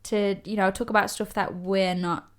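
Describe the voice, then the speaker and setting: A woman's voice: medium pitch, little pitch movement, normal speed. A young woman co-hosting a podcast in casual chat, giggly and trailing off mid-sentence. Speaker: podcast co-host.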